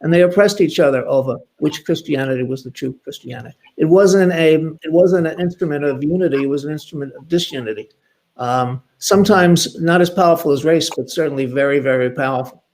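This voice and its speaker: Older male panelist, slightly high voice, emphatic explainer who drives points home by repetition. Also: a little fast, a little mellow, low-quality recording. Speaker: panelist, history commentator. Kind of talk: spoken panel discussion, explanatory.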